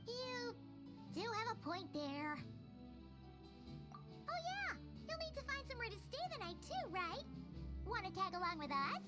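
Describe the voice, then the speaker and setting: Childlike fairy companion, squeaky high, animated, chirpy, video game, loud backing music. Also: female voice, unhurried, pauses often, heavily acted. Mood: cheeky. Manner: chirpy, speaks of herself in third person. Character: small chirpy childlike female fairy companion, cheeky and friendly.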